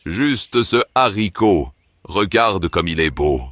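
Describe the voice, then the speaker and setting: Very deep, slow, sly old man. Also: lively intonation, a bit bright, no room sound, full character voice. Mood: wheedling. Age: older. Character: strange, sly old man peddling a magic bean. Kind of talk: children's cartoon storybook acting.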